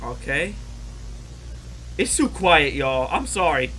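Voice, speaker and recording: A man hosting a casual reaction video, excitable and exclaiming at what he watches, talking straight to his viewers. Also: fairly high pitch, slow, slight background noise, low-quality recording.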